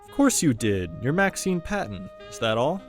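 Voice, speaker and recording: A man's voice: mid-range voice, sing-song, moderate pace, soft background music, natural voice. A young man playing an aloof, romantic student who dreams of jazz radio, understated and wry, in an amateur radio drama. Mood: dismissive.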